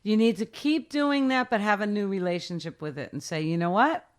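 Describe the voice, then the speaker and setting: A woman's voice: medium pitch, brisk. A woman in her sixties, a guest in a casual interview, speaking emphatically and directly with short, punchy repeated advice. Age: 60s.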